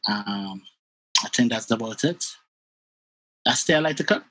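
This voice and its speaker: A young man from Trinidad gives a laid-back, joking introduction over a video call. His voice is husky, and his phrases trail off. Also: mid-range voice, animated, unhurried, pushed and bright, faint music behind, rough recording. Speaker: podcast guest. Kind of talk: casual self-introduction.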